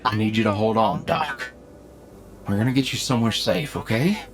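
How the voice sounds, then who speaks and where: An actor playing a loyal, steady gunslinger, earnest and urgent, in an anime-style audio drama. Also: male voice, medium pitch, moderate pace, natural voice. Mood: urgent, worried. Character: loyal, steady male gunslinger pleading for his partner's help.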